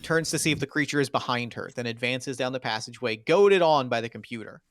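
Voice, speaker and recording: A man's voice: medium pitch, fast, dry recording. A man narrating screenplay stage directions in dramatic, clipped phrases for a table read.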